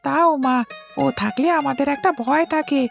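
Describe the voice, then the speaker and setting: A spoiled little schoolboy in a cartoon. The voice is high and creaky, whining and smug, with music underneath. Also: female voice, moderate pace. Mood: sly, scheming. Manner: whiny, tattling, smug. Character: spoiled, scheming young schoolboy, mother's pet. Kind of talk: Bengali cartoon voice acting.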